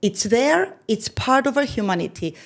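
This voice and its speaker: A woman's voice: on the high side, sing-song, moderate pace, vocal fry. Woman explaining patiently, checking in often. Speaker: podcast guest. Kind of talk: explanatory conversation.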